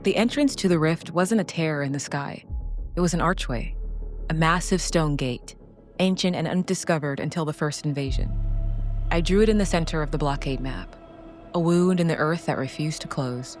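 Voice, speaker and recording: A woman giving scripted, dramatic narration as a storyteller, solemn and measured in short phrases. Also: sits low, a little mellow, faint music behind. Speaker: narrator and solo game player.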